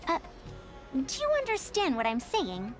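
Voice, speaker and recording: A woman's voice: high voice, wildly swooping pitch, a little slow, soft background music, heavily acted. A woman playing a proud, theatrical scholar and inventor in an anime-style game, lecturing children with patient self-importance.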